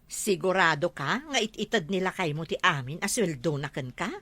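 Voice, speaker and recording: A woman's voice: on the low side, expressive, normal speed, bright, forceful tone, breathy edge, no room sound, exaggerated voice. A woman playing a nosy, gossipy village storekeeper in a comedy drama, prying and teasing, with an Ilocano accent.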